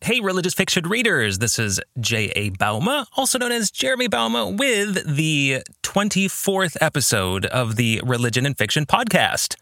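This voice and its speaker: A man with a bright, fairly high voice delivers an earnest podcast monologue. His pitch moves in a lively way as he stresses key words for his listeners. The sound is dry and close, with faint music. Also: moderate pace, creaky, hardly any pauses, dry recording. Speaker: podcast host.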